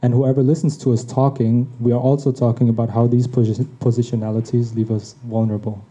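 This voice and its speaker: A man whose mother tongue is Tagalog, reading a personal essay on his podcast in a reflective, measured way. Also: medium pitch, little pitch movement, warm tone. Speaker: podcast host. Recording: dull lo-fi recording.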